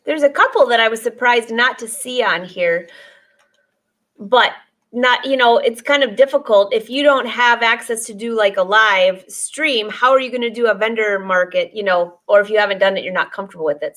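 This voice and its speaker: A woman in her forties hosts a casual live stream from her sewing studio, talking to viewers through a desk webcam. Her voice sits fairly high, and she chats along easily, greeting commenters by name and laughing often. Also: normal speed, a bit bright. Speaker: sewing teacher and host. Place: sewing studio with dress forms, indoors.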